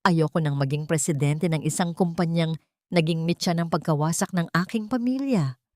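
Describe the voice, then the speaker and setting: A woman's voice: low voice, normal speed, warm tone, dry recording, clean studio sound, lightly acted. A woman voicing a weary, protective young mother in a story drama, earnest and soothing. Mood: weary, resolved.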